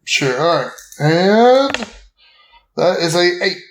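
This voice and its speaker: A man with a fairly high-pitched voice plays a tabletop game, talking casually through his moves. The room around him is noticeably echoey. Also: very animated, slow pace, a little hiss or hum.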